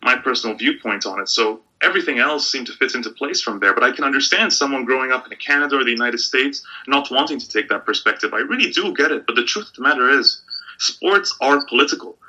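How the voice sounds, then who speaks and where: A man raised in Egypt talks through a discussion with a keen, very bright and forceful tone. He restarts his sentences often but lands his points firmly. The audio is dull and lo-fi. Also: mid-range voice, quick pace. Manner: thoughtful, restarts often, emphatic at times. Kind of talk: conversational discussion. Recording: dull lo-fi sound.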